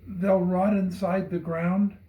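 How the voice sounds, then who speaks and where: Man voicing an eager high-pitched farm boy, echoey. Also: takes it slowly. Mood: earnest, eager. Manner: excitable, earnest. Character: eager, determined farm boy, devoted to his father. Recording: roomy echo.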